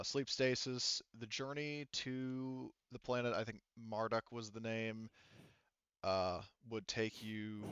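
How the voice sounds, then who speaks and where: A man's voice: lowish voice, clean tone, dry recording, dull audio. A man casually narrating a tabletop game as game master, explaining things in a rambling, informal way.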